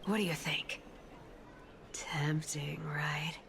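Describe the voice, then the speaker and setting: A woman's voice: low-pitched, fairly even intonation, slow, a bit bright, a little hiss or hum, full character voice. A woman voicing a greedy, mischievous demigod trickster in a video game, teasing and theatrical, slipping between airy murmurs and exclamations. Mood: playful coaxing. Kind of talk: animated video game voice acting. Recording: game audio with clear background music.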